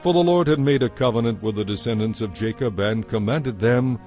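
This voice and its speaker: A man's voice: medium pitch, a little mellow. Solemn male scripture narrator over music. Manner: solemn, measured storytelling. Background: music behind the voice.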